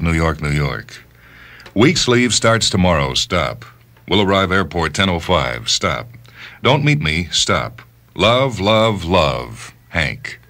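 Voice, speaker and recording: Formal male telegram announcer, rumbling low register, clipped radio drama delivery. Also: fairly bright tone, exaggerated voice.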